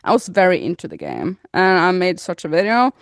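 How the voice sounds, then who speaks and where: A woman's voice: lowish voice, huge pitch swings, a little fast, no echo. Norwegian-raised woman, close dry podcast sound. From Norway. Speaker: podcast co-host, gaming YouTuber. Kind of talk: casual podcast chat about gaming.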